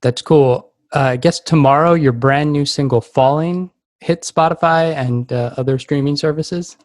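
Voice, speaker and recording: A man's voice: fairly high pitch, normal speed, a little mellow, no echo, studio quality. A man hosting a podcast, asking informal, loosely phrased questions in a casual chat. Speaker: podcast host, interviewer.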